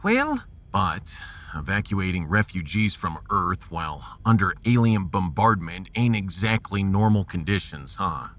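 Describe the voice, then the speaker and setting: A man playing a gruff, folksy American starship captain in a sci-fi audio drama, resolute and commanding with homespun slang. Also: sits low, sing-song, a little slow, a bit bright, exaggerated voice. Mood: skeptical.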